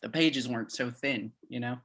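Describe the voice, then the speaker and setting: A woman playing a joking, informal church pastor who speaks haltingly in a live staged play reading. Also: rumbling low, a bit bright, slightly rough, exaggerated voice. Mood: wry, joking. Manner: halting, chuckling, informal sermon delivery. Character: Pastor Reggie, rambling, joking, nervously candid church pastor.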